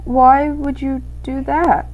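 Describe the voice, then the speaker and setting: A woman voicing a small, timid male mouse on a quest in a storybook, speaking earnestly and haltingly. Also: high-pitched, little pitch movement, phone-quality sound, voice pushed up. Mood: nervous, earnest.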